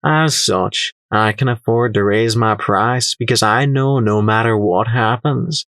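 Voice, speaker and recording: A man's voice: lowish voice, measured pace, fairly bright tone, no room sound. A shrewd pony merchant from a storybook, acted out by a male narrator, talks down to whoever is listening. The delivery is sly, airy and sneering, recorded dry with no room sound. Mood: menacing, condescending. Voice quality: flighty, airy. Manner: sneering, condescending, calling others laddie.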